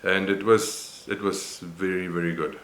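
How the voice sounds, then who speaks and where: Deep-voiced older Afrikaans-man. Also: fairly even intonation, a bit bright, echoey room, slight background noise. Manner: unhurried, reflective, many pauses and fillers. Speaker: vlogger. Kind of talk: personal health vlog.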